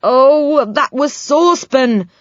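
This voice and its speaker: A woman voicing an anxious young boy who shouts and groans in a storybook reading. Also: extremely high pitch, sing-song, slow, no room sound, highs cut off, voice pushed up, exaggerated voice.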